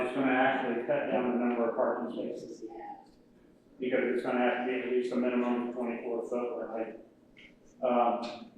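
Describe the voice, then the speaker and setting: A man, a city official, explains a matter to a municipal board in a halting, step-by-step way with many fillers. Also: mid-range voice. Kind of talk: meeting report. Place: municipal meeting room. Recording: very echoey room, distant mic, faint hiss.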